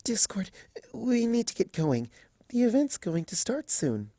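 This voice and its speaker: A man voicing a shy, gentle young female pegasus pony in a cartoon fan story, soft, breathy and hesitant. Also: mid-range voice, big pitch swings, unhurried, no room sound, studio quality, pitched above the performer's own voice. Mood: nervous. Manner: hesitant, stammering.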